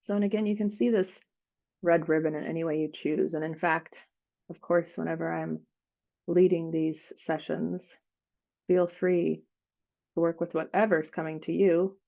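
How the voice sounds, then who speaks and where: A woman leading an improvised guided meditation, speaking in gentle, unhurried phrases with frequent pauses. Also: low-pitched, fairly even intonation, creaky, highs cut off.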